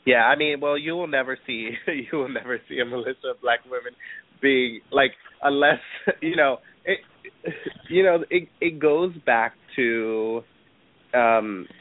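A New York man speaks in an animated, loose way, filling his talk with "like" and "you know" and laughing often. His voice sits at an ordinary male pitch. The sound is dry and thin, like a phone call. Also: measured pace, no echo. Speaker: guest. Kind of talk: casual discussion. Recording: dry, tinny phone-like line.

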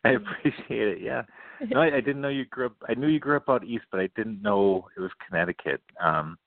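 A young man hosting a baseball talk show, eager and halting, with many restarts and nervous laughs. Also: lowish voice, creaky, dry recording. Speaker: podcast host. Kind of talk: casual interview. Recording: tinny phone-quality line, dry.

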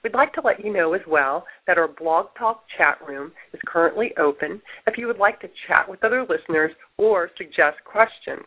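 A woman host with a slight head cold, speaking in a measured, polite way as she introduces a guest and interviews him. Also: fairly low pitch, expressive, husky. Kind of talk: reading an introduction, then interviewing. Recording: narrow phone-line audio.

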